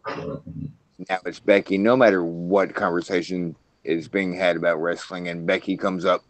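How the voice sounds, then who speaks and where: A man's voice: deep voice, little pitch movement, measured pace, dry recording. A man co-hosting a casual wrestling podcast who is losing his voice and talks with many hesitant fillers. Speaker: podcast co-host.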